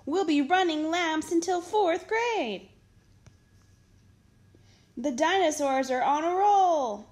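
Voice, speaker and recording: A woman reading a children's picture book aloud in an expressive, dramatic storytelling manner. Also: very high voice, slow. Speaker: storytime reader.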